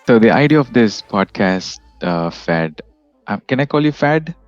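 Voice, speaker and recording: A young man from India hosts a casual podcast interview. His voice sits low, and he talks in a friendly way, often stopping and restarting. The recording is dry and a little muffled. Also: animated, measured pace, dry recording, faint music behind. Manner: friendly, with frequent restarts and fillers. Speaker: podcast host.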